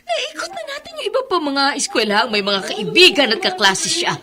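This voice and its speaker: Anguished high-voiced mother in radio drama. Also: big pitch swings, normal speed, crowd noise. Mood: anguished, tearful. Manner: tearful, emphatic, melodramatic. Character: anguished, fiercely protective mother searching for her daughter. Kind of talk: Filipino radio drama acting.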